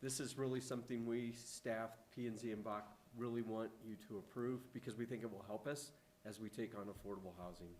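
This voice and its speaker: A man on the town staff presenting a proposal to council in a measured, explanatory way. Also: lowish voice, fairly even intonation, darkish tone, a little hiss or hum.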